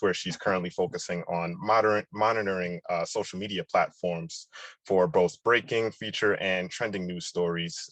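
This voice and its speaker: Deep-voiced guy moderating a panel, lots of uhs, dry close audio. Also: fairly bright tone, no room sound. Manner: halting, many uhs and you knows.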